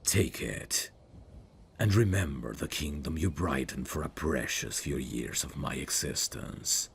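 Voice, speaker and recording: Man acting a very deep, brooding fantasy prince. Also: expressive, vocal fry, slight background noise, exaggerated voice. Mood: tender, solemn. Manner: slow, hushed, formal and wistful delivery. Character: brooding evil prince, tender, sorrowfully noble in farewell. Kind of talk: intimate ASMR fantasy audio roleplay.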